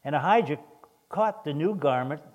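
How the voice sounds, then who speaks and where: An older man preaches in a hoarse voice, often stumbling and restarting mid-sentence. His delivery is plain and unhurried as he reads scripture and comments on it. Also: a little high, lively intonation, darkish tone, muffled recording. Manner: halting, many restarts and fillers. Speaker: guest preacher. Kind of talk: sermon.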